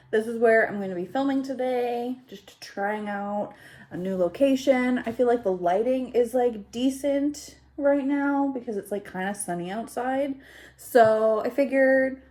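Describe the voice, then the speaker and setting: Female vlogger, 30, slightly congested, slow and scattered, self-deprecating casual delivery. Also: sits high, darkish tone. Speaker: YouTube vlogger. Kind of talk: casual rambling chat to camera. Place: home kitchen, indoors.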